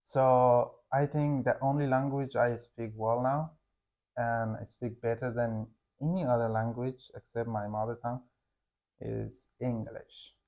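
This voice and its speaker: Young man, English, mid pitch, casual and halting, teacher chatting with learners. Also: fairly even intonation, a little mellow. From Afghanistan. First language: Hazaragi. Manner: halting, searching for words, frequent "like". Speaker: English teacher and channel co-host. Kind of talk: casual conversation with his wife for learners.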